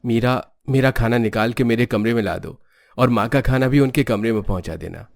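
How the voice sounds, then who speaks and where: Practical young businessman husband, played by a man, mid-pitched, dry studio sound, storybook drama. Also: brisk, vocal fry, no echo, natural voice. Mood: practical, matter-of-fact. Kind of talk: dramatized moral story acting.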